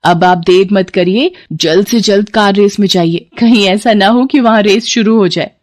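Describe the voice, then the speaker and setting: A woman voicing a supportive young wife in an animated moral story, reassuring and emphatic. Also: medium pitch, normal speed, natural voice. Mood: urging.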